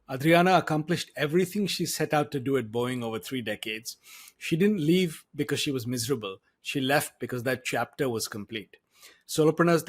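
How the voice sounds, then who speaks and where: A man hosting an interview podcast, speaking in measured, clearly phrased sentences. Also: medium pitch. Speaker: podcast host. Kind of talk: interview hosting and scripted takeaways narration.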